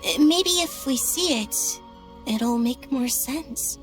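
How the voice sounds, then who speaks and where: A woman voicing a gentle, wistful young moon goddess in an anime game, soft and polite. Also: sits high, slow pace, soft background music. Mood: curious, hesitant. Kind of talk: anime game cutscene acting.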